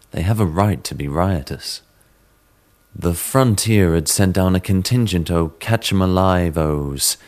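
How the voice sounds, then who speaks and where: Very deep-voiced man narrating a horror story. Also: darkish tone. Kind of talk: dramatic reading of a classic horror story. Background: sitar music.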